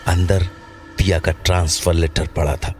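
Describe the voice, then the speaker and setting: A man with a very low-pitched voice narrates a scripted horror story. Clear background music plays behind his storytelling. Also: level tone, moderate pace, a little mellow.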